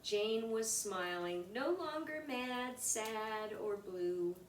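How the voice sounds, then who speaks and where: Slow, very animated woman reading a children's story. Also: medium pitch. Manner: animated, deliberate phrasing for young children. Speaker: storybook reader. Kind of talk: expressive read-aloud of a rhyming children's picture book. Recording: roomy, distant mic with background noise.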